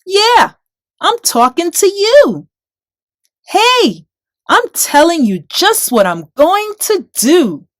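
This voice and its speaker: A woman's voice: high-pitched, no echo, clean studio sound, voice pushed up, heavily acted. A woman voicing a tiny, spunky, fearless shepherd boy in a rhyming children's storybook, bold and declamatory.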